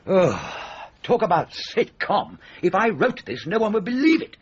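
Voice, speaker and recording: A man's voice: sits high, sing-song, moderate pace, breathy edge, dull audio, heavily acted. An actor playing a sardonic, exasperated middle-aged British writer and father in a naturalistic radio comedy drama, sarcastic and dry. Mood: bitterly sarcastic.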